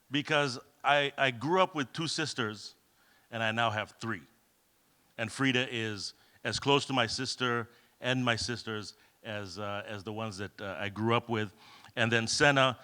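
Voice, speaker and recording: Kenyan-born man, middle-aged, conference speaker, bright forceful tone, joking anecdotes, lots of ums. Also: medium pitch. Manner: humorous, self-deprecating storytelling with many fillers. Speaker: keynote speaker. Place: event hall.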